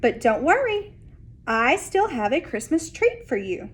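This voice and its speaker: Woman acting high-pitched cheerful storybook neighbor lady. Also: big pitch swings, a little slow, a bit bright, husky, exaggerated voice. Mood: cheery, inviting. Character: cheerful, bustling neighbor lady pushing her holiday baking. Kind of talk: children's storybook character voice.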